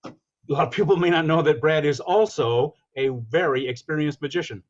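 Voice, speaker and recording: A man's voice: on the high side, fairly quick, dull audio. A middle-aged or older man talking casually as a guest on a chat. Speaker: guest, radio drama producer and performer.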